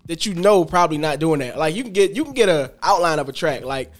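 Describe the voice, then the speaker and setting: A man with a Detroit accent co-hosting a casual podcast, telling animated stories and laughing often. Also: fairly high pitch, fast talker, faint music behind. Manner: animated storyteller, laughs often, quotes reactions. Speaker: podcast co-host. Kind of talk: casual chat.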